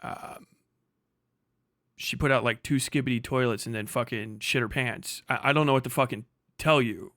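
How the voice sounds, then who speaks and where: A man's voice: mid-range voice, moderate pace, sharp tone, dry recording, clean studio sound. A man doing casual, profane reaction commentary as a streamer, exasperated and emphatic, rambling as he argues.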